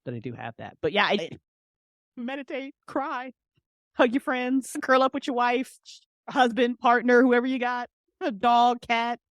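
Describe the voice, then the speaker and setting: A woman's voice: a little high, expressive, measured pace, dry recording. A woman speaking as an interview guest in an informal, passionate way, leaning on key phrases and repeating them for emphasis. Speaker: podcast guest, independent entrepreneur. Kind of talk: casual, passionate interview conversation.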